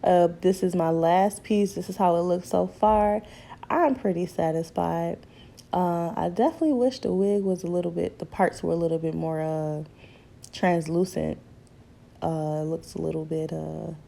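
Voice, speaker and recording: A young woman in her twenties, hoarse from a cold, chatting casually through a hair tutorial and calling her viewers ladies and honey. She is recorded in a small bedroom on the camera's own mic. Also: fairly low pitch, unhurried, warm tone. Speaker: beauty vlogger. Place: small bedroom at home, indoors. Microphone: camera mic, seated close in front.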